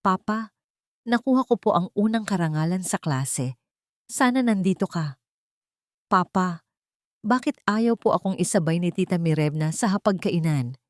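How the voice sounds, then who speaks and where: A woman's voice: lowish voice, lively intonation, moderate pace, pauses often, no echo, studio quality, lightly acted. A woman with a Filipino accent voicing an innocent young daughter pleading with her father in an audio story.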